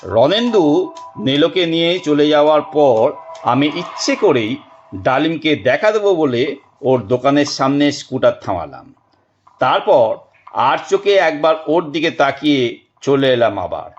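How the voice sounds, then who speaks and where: A man narrating a first-person detective story in a measured, dramatic manner. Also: on the high side, animated. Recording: dull, band-limited audio. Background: faint background music.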